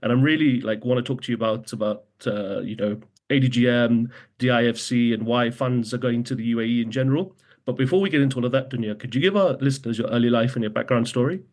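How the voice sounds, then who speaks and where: A man's voice: medium pitch, fast talker, darkish tone. A man hosting a podcast, introducing a guest and asking questions in a friendly way, with casual fillers. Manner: friendly, loose with fillers like "like" and "you know". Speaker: podcast host.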